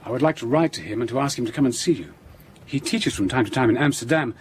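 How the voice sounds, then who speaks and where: An actor playing a caring young Victorian gentleman doctor in an audio drama, speaking formally and earnestly. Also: mid-range voice, animated, quick pace, slight background noise, close to an everyday voice. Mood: earnest, reassuring. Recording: faint background ambience.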